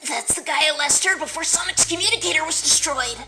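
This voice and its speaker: Woman voicing a high, bright young fox boy. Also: quick pace, soft background music, heavily acted. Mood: concerned. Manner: hesitant, earnest. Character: young male fox, hesitant, earnest, worried friend. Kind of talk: fan audio drama acting.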